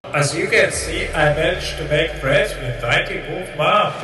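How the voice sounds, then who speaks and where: A boastful, patient monster coach from a video game, played by a man. He speaks in a measured drawl, distant from the mic in a heavy echo. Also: a little high, pushed and bright. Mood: measured, proud. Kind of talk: video game character acting.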